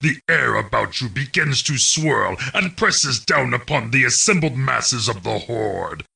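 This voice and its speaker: An actor playing a stern, menacing orc narrator who delivers grandiose video game mission briefings in a gravelly, commanding, theatrical way. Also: male voice, medium pitch, lively intonation, unhurried, sharp tone, far from the mic, full character voice. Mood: ominous, grandiose.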